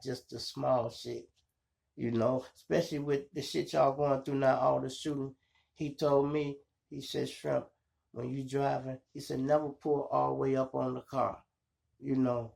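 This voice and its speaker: A man with a Chicago accent and a gravelly voice, telling street stories casually to his viewers in slangy, profane talk. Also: mid-range voice. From Chicago, United States. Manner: animated retelling, slangy, profane, acts out dialogue and sound effects. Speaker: storytelling podcast host.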